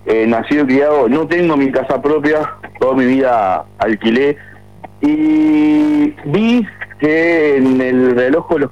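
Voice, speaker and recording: A man in his forties with a Uruguayan accent, calling a radio show to complain at length, with many restarts. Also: mid-range voice. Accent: Uruguayan Spanish. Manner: aggrieved, rambling with many restarts. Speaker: caller. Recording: muffled phone line on radio.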